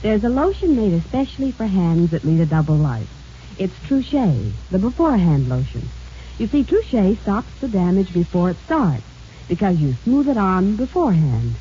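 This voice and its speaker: Low, mellow 1940s radio ad woman. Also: big pitch swings, moderate pace. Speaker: commercial spokeswoman. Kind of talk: scripted 1940s radio advertisement. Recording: muffled old radio broadcast, hiss.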